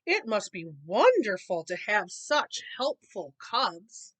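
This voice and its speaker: A woman voicing a polite elderly lady bear in a children's storybook, brisk and courteous. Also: medium pitch, very animated, husky, heavily acted. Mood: polite, bright.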